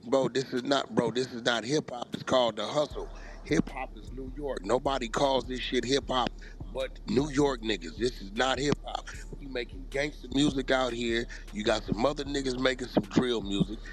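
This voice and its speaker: A man's voice: mid-range voice, moderate pace. Blunt, profane man arguing. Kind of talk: heated podcast debate.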